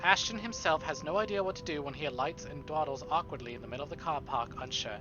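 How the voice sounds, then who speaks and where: A male streamer reading visual novel narration aloud in an expressive, dramatic way, with casual asides. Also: fairly high pitch, highs cut off. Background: game music.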